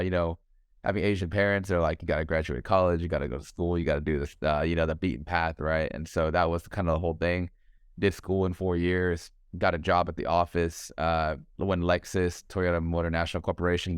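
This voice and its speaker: A young man with a low, smooth voice talks loosely and at length, dropping "man" and "bro" as he goes. He is a podcast guest on a video call from home. The sound is close and dry. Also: no echo. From Thailand. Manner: relaxed, talkative, calls the host bro and man. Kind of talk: casual interview chat.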